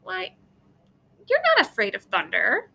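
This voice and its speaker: A woman voicing a steady, reassuring old farm grandmother from a storybook, with an encouraging, emphatic delivery. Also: fairly high pitch, measured pace, vocal fry, slight background noise, heavily acted. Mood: proud, encouraging.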